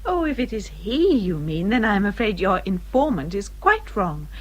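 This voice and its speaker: Polite, expressive young English gentlewoman. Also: mid-range voice, moderate pace. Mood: flustered surprise. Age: young adult. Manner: polite, earnest, restrained. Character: polite, self-possessed young gentlewoman, quietly firm. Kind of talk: period radio drama acting.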